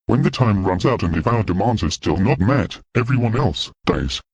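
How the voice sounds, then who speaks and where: A man voicing a cold adult hostage-taker who reads out threats in a flat, measured way. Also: fairly low pitch, vocal fry. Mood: menacing.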